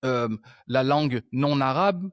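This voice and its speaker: A man's voice: mid-range voice, slow pace, no room sound. A male interview host with an ordinary mid-range voice, musing aloud and restarting phrases as he reaches for ideas. The sound is dry and close, with no room around it. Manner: thinking aloud, frequent restarts and hesitations. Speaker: podcast host. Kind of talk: reflective conversational interview.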